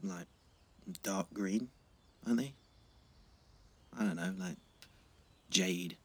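A man playing a sensible, gentle teenage schoolboy, hesitant and understated, in a naturalistic audio drama. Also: sits low, very animated, slow pace, vocal fry, exaggerated voice. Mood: shy, amused.

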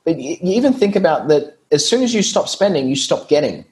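An Australian man, a guest on a podcast, talking through his business in a relaxed, explanatory way and laughing easily. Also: fairly high pitch, fairly quick. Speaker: guest, SEO business owner. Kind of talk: casual podcast interview.

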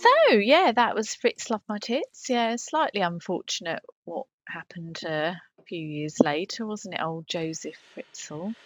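A woman's voice: fairly low pitch, big pitch swings, a little slow, few pauses, no room sound, faint music behind. A woman co-hosting a podcast in casual comic chat, hesitant and drifting into asides. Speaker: podcast co-host.

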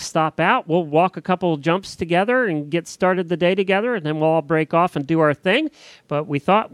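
A man's voice: medium pitch, no echo. A man hosting a podcast, chatting informally and reading out show announcements in a conversational way. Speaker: podcast host. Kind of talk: casual chat and show announcements.

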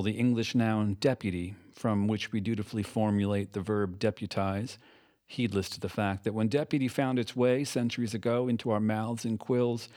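A male podcast host delivers scripted narration in a fairly low voice. His phrasing is literary and ornate, and the recording is dry, with very little room sound. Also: darkish tone, dry recording.

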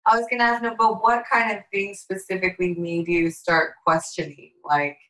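A woman's voice: medium pitch, little pitch movement, normal speed, a bit bright, low-quality recording. A woman who grew up in Latin America, hosting a casual interview and asking direct, curious questions. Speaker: podcast host.

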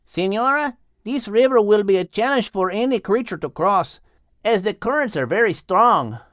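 Man putting on a small, high-pitched flying scout creature. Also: animated, moderate pace, a bit bright, dry recording, studio quality. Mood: earnest, concerned. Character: small male Spanish-speaking flying creature, earnest nectar-sipping scout. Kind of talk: children's audiobook character voice.

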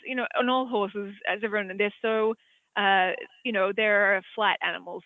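A man's voice: high-pitched, no room sound. Australian man on a tinny phone-like line. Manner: rambling, hesitant, many fillers. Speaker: equine veterinarian. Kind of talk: expert answering audience questions.

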